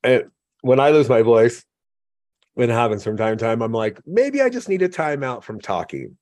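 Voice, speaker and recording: A male host muses aloud in a reflective, somewhat rambling way, his voice sitting at an ordinary mid pitch. The recording is dry and close, with no room sound. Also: sing-song, normal speed, a little mellow, no room sound. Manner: reflective, musing, a little rambling. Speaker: podcast host. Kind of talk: casual interview conversation.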